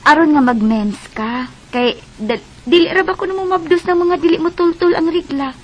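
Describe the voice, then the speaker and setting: A young Cebuano woman with a high voice, a chatty friend in a radio drama. Her delivery is casual and coaxing, like a persuasive workmate. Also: moderate pace, slight background noise. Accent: Cebuano Filipino. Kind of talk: Bisaya radio drama acting.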